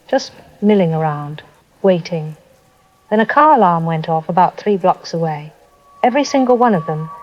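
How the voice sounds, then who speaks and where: Over a dull phone line with rain and music behind, an actress plays a trapped woman survivor in an audio drama. She sounds fearful and worn out, speaking in halting fragments. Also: on the low side, darkish tone. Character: frightened, exhausted woman survivor trapped by zombies.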